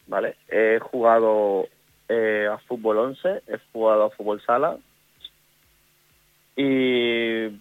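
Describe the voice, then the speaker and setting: Halting Ecuadorian man on a phone line. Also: mid-range voice, no echo. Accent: Ecuadorian Spanish. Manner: halting, many restarts and fillers. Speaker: women's youth football coach. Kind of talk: casual radio interview.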